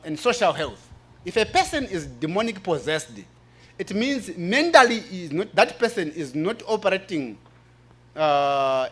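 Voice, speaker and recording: High voiced guy presenting at a church forum, kinda preachy explaining, repeats stuff for emphasis. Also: a bit bright. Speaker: presenter answering questions at a religious forum.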